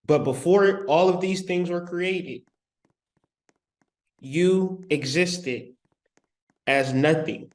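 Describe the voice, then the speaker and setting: A man giving a spiritual lecture, speaking deliberately with long pauses and repeating key phrases for emphasis. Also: high voice, a little mellow. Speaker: spiritual teacher.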